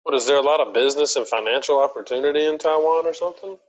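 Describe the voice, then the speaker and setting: A man's voice: a little high, very fast. A man hosting a podcast, chatting loosely and telling rambling stories with hesitant restarts. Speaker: podcast host. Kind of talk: casual chat, rambling anecdotes. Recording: dull lo-fi recording.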